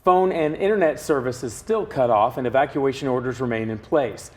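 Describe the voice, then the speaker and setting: A man's voice: medium pitch, fairly quick, darkish tone. Male anchor reading scripted TV news.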